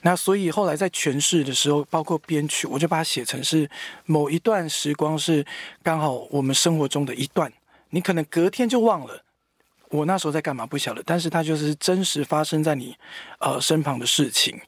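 A middle-aged singer chatting in a soft, reflective way, his voice sitting a little high for a man. The sound is close and dry, as from a small studio with a good microphone. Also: brisk, slightly rough, no room sound. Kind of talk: casual podcast chat.

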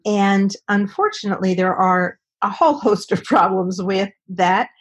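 A woman speech and language specialist, speaking as an interview guest and explaining with calm reassurance. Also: mid-range voice, normal speed, husky. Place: Southern California.

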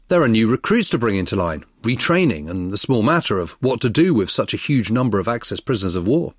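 A man's voice: on the low side, no echo, studio quality. A man narrating a history podcast from a script.

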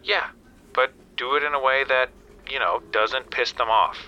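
An actor playing a reluctant, rule-bound call centre supervisor in an audio comedy drama, grudging and clipped. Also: male voice, mid-range voice, normal speed, pushed and bright, a little hiss or hum, low-quality recording. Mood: exasperated.

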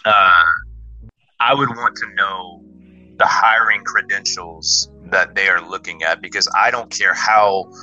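Bright-voiced joking man. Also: low voice, fairly even intonation, unhurried, lo-fi sound, faint music behind. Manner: playful, jokey, asks questions. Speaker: podcast co-host. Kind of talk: casual chat.

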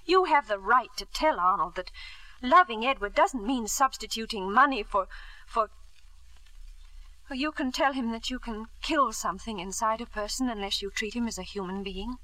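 A high, bright, close and dry voice of an anxious middle-aged wife in a 1950s radio drama. She speaks earnestly, with gentle, hesitant restraint. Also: normal speed, no room sound, poor audio. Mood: troubled, restrained.

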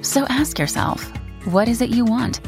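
A woman's voice: a little high, lively intonation, moderate pace, over music. A woman reading scripted voice-over for a tourism commercial.

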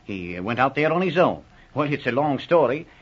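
A man's voice: mid-range voice, very animated, brisk, husky. An actor playing a flustered, humble police subordinate and father in an old radio drama, with hesitant, folksy, ungrammatical speech. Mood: flustered, gloomy. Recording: muffled old 1940s radio recording.

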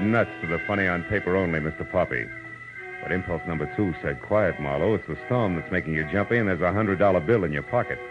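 A hard-boiled private eye with a low, deep voice. He is wry, tough and clipped in old radio noir style, with music behind and muffled vintage sound. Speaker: actor narrating and starring in a radio drama. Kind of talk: old-time radio noir narration and acting.

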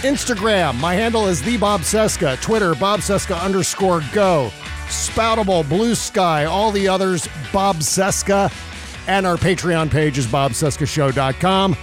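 Male podcast host, slightly high voice, casual upbeat banter with announcer flair, music behind him. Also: a little slow.

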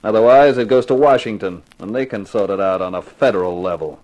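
An actor playing a tough, cool-headed private detective in a 1950s radio drama, terse and wry. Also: male voice, lowish voice, brisk, a little mellow, lightly acted, low-quality recording. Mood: cool, threatening.

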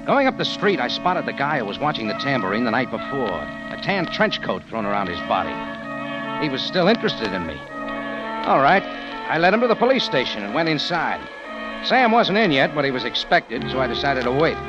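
A man's voice: mid-range voice, sing-song, brisk, close to an everyday voice. A male actor with an American accent playing a tough, weary adventurer narrating his own story in a wry 1940s radio drama style. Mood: wry, gripping. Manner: hard-boiled, wry, dramatic. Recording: dull vintage radio recording, music behind.

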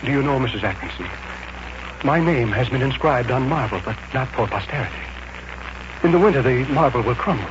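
A man's voice: mid-range voice, animated, a little fast, lightly acted. A man playing a doomed, fearful, bitter artist in a 1940s radio drama, with an agitated, fatalistic delivery. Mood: bitter, resigned. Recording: muffled, noisy 1940s radio recording with hum.

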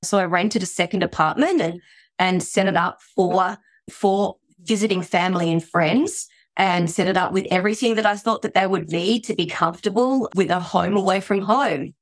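A woman's voice: medium pitch, normal speed, dry recording. A middle-aged woman with an Australian accent, a podcast guest talking in a chatty way, often restarting and saying "you know". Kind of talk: conversational interview.

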